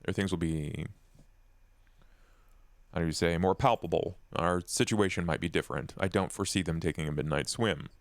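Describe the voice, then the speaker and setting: A man roleplaying a cautious, formal vampire strategist in a tabletop game, choosing words deliberately. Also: low voice, no echo.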